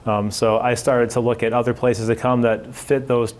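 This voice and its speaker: Halting, flat-voiced man from the Chicago area. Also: mid-range voice. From Chicago area, USA. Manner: halting, restarts words often. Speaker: spine surgeon. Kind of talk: community talk, personal background.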